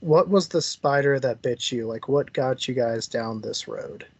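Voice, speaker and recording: A man's voice: medium pitch, measured pace, breathy edge, dry recording. A man hosting an interview in a casual, chatty style. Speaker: interview host. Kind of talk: casual chat.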